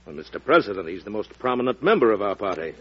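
A man's voice: lowish voice, sing-song, quick pace, breathy edge, exaggerated voice. An actor playing a respectful but uneasy party chairman in a vintage radio drama, deferential yet frank. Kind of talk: 1940s-50s radio drama acting. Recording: dull vintage radio recording.

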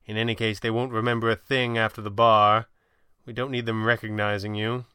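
A composed male crimefighter with dry wit, voiced by a man reading an audio drama. He is articulate and measured, with a bright, very clean tone in a dry, close recording. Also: lowish voice, little pitch movement, clear, resonant tone, dry recording. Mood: dry, explanatory.

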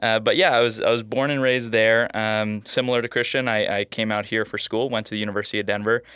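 Young guy from hawaii, kinda low smooth voice, upbeat storyteller, bit jet lagged. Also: steady tone, fast talker, fairly bright tone, no echo, studio quality. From Oahu, Hawaii. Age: young adult. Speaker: startup co-founder, guest. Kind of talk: casual interview answers.

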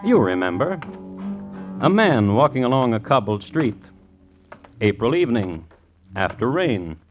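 An actor narrating a murder tale in an old radio drama, brooding and atmospheric, in clipped dramatic fragments. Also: male voice, medium pitch, big pitch swings, measured pace. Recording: dull vintage radio recording with music.

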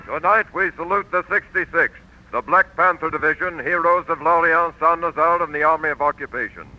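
A man's voice: on the high side, brisk, sharp tone. A man making a formal salute announcement in 1940s radio style. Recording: muffled 1940s radio recording.

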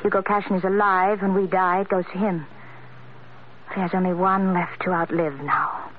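An actress playing a polite, anxious young heiress who keeps herself tightly controlled, in old-time radio drama style. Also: female voice, on the low side, level tone, normal speed, natural voice. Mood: anxious, restrained. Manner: polite, restrained, earnest. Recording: dull vintage radio recording with faint hiss.

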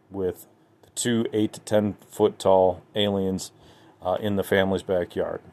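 Male podcaster, low relaxed voice, conversational and halting solo commentary addressed to listeners. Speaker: podcast host.

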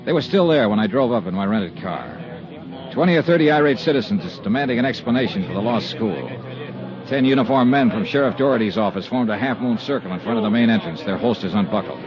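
An actor playing a brisk, wry insurance investigator in a 1950s radio detective drama, clipped and insistent as both narrator and character. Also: male voice, fairly low pitch, lively intonation, music in the background, lightly acted. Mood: dry narration. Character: brisk, defiant male insurance investigator, hard-boiled and wry. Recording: dull 1950s radio recording, crowd behind.

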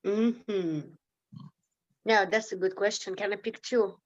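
A woman's voice: medium pitch, fairly even intonation, slow pace. A woman from Lithuania speaks with a Lithuanian accent, frank and unfiltered, swearing freely. She laughs easily as she talks. The recording sounds a little dull and lo-fi. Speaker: interview guest. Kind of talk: casual candid interview.

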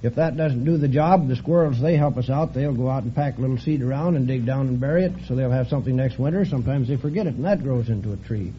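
A man's voice: medium pitch, fast talker, hardly any pauses, slight background noise. An American man chatting in a plain, folksy way as a quiz contestant. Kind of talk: casual interview chat. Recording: muffled old radio before audience.

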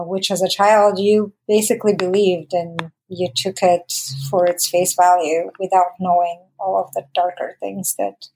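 A woman's voice: lowish voice, a little mellow, rough recording. Reflective woman. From Bulgaria. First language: Bulgarian. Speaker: interview guest, documentary filmmaker. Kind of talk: conversational personal recollection.

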